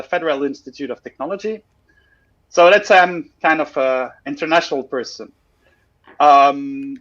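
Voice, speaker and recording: A man's voice: fairly high pitch, fairly bright tone. Swiss man presenting over a dull call. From Switzerland. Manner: hesitant, many fillers, self-deprecating. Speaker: guest presenter. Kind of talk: casual livestream presentation. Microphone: video call.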